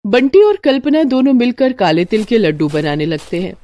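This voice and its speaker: A woman's voice: on the high side, sing-song, moderate pace, mellow. A woman narrating a scripted moral story as a storyteller.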